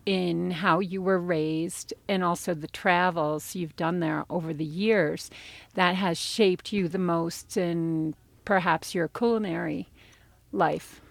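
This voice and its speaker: A woman interviewer speaks slowly in a low, gravelly voice. She asks long, probing questions without hurry. The recording is dry and close. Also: steady tone, no room sound. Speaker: interview host. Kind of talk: interview hosting.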